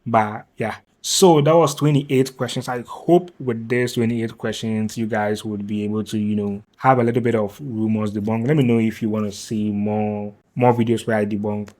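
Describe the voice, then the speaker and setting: A man's voice: lowish voice, fairly even intonation, normal speed, few pauses, a little mellow. Chatty young Ghanaian man vlogging. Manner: chatty, hesitant, with many fillers and restarts. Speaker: YouTuber. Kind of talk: casual vlog, answering questions to camera.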